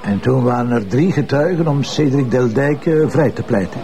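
An actor with a Flemish accent playing a polite, patient police commissioner in a radio drama, speaking courteously and with some hesitation. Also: male voice, mid-range voice, animated, moderate pace, vocal fry, warm tone.

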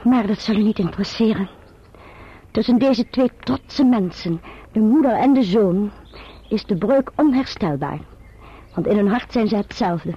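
A husky, imperious gentlewoman. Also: medium pitch. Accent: Netherlands Dutch. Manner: curt, haughty, clipped and formal. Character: cold, imperious young gentlewoman. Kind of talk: radio drama acting. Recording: muffled old radio drama recording.

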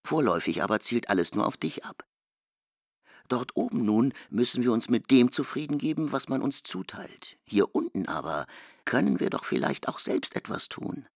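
An actor playing a brooding male storyteller in a literary radio play, deliberate and expressive in his delivery. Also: medium pitch, gravelly, no echo, studio quality. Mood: brooding, guarded hope.

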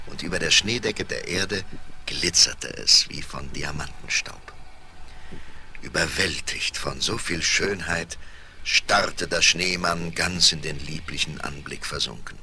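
A man with a gravelly voice narrating a scripted fairy tale for children as a storyteller. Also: deep voice, bright, forceful tone.

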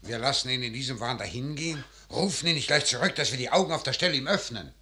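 An actor playing an earnest, puzzled courtly gentleman confidant in a classical German radio drama, speaking formally and urgently. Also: mid-range voice, expressive, fast, slight background noise. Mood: pained, urgent.

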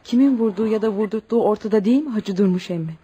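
A woman's voice: mid-range voice, rapid-fire, muffled recording, a crowd in the background, lightly acted. An actress playing a defiant, resolute young village woman orphaned and grieving, in an earnest, declamatory radio-theatre style. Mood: bitter, resolute.

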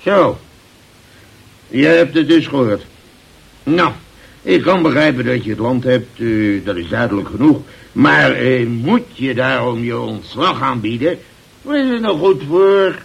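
An actor in an old radio drama playing a grumbling, blustering older boss, gruff but fair, who hesitates and interrupts. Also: male voice, medium pitch, lively intonation, unhurried, full character voice. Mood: gruff, puzzled. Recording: old radio play recording.

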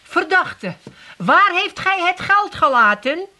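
A bossy Amsterdam woman from a radio comedy. Her voice is high, bright and forceful. She takes charge with mock-official, sharp-tongued authority. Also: very animated, takes it slowly, heavily acted. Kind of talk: 1980s comic radio drama acting.

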